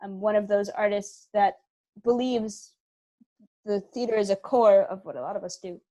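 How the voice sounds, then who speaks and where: A woman's voice: mid-range voice, fairly even intonation, moderate pace, warm tone. A woman speaking casually as a guest in an interview about her creative work. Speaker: interview guest, theater company co-founder.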